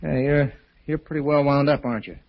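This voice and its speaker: A man's voice: on the high side, fairly even intonation, fairly quick, lightly acted. A man playing a weary, plain-spoken police detective with an American accent in an old-time radio drama. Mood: casual, wry. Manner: resigned, colloquial. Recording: muffled old radio recording.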